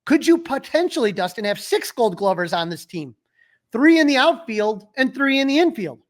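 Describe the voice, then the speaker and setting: A man with a notably high-pitched voice talks sports as a podcast co-host. His delivery is casual and conversational, and he states his opinions with emphasis. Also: sing-song, moderate pace. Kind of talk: casual sports talk and analysis.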